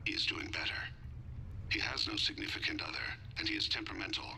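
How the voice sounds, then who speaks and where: A man voicing a dying, calm male alien assassin in a video game, speaking in a breathy, formal, measured hush. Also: slight background noise, poor audio. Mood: quiet, wistful. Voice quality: hushed, breathy, gravelly. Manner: measured, formal, softly reflective.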